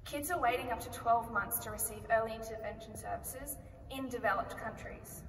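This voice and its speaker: A woman with an Australian accent giving a rehearsed conference pitch, speaking in a clear and measured way and posing rhetorical questions. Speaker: company founder pitching. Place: large conference hall. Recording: echoey hall recorded from far off, noisy.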